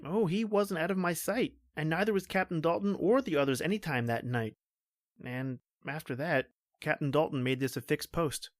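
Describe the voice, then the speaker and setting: A woman's voice: deep voice, moderate pace, no echo, clean studio sound, close to an everyday voice. A woman playing a stoic, protective male police officer in an old-time radio horror drama, brusque and melodramatic. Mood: guarded, matter-of-fact.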